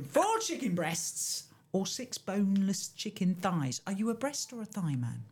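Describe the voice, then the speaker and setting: A woman's voice: medium pitch, very animated, unhurried, a little mellow, husky. A woman radio presenter, chatting playfully in the studio while reading out a recipe. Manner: chatty and playful, laughs often.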